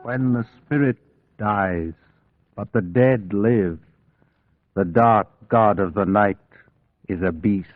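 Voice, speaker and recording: A low, slow, sinister man's voice, solemn and theatrical. It sounds like a thin, tinny old radio drama. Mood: menacing. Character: sinister, solemn male voice of dark doom. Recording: thin, narrow-band old radio clip.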